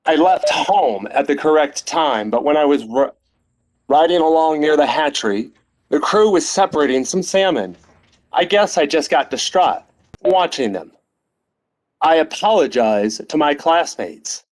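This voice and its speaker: Man playing an apologetic schoolboy, lightly pitched. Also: animated, unhurried, poor audio. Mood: sheepish, earnest. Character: apologetic schoolboy, breathless, earnest, a little muddled. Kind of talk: audiobook character reading.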